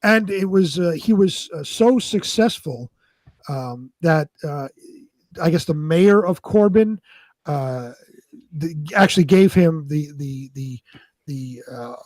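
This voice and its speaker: A man co-hosting a live show, moving between amateur scripted narration and casual, halting chat. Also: a little high, darkish tone, dry recording. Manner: halting, repeats words when ad-libbing.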